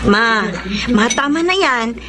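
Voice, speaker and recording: A woman acting a bubbly, teasing young sister in a Tagalog radio drama, affectionate and animated. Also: fairly high pitch, slow, loud music behind the voice. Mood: bright, teasing. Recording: clearly noisy background ambience.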